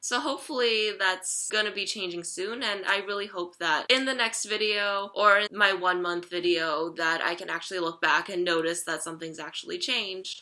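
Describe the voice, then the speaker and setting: A young adult woman chatting casually in a personal vlog, rambling with lots of fillers and a slightly raspy throat. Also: medium pitch, pushed and bright. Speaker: vlogger.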